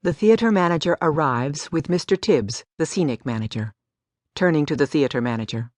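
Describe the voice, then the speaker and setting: A woman's voice: low-pitched, expressive, moderate pace, darkish tone, no echo. A woman giving a scripted story narration as an audiobook narrator. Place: dry studio.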